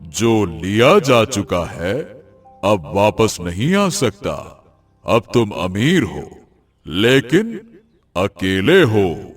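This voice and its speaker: A solemn, stern magical elder of a cartoon fable, played by a man. His low voice delivers grave pronouncements very slowly, with soft music underneath. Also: sing-song, dry recording, heavily acted. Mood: stern, ominous. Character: solemn magical tree and wise village guru, stern. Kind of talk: Hindi cartoon moral story acting.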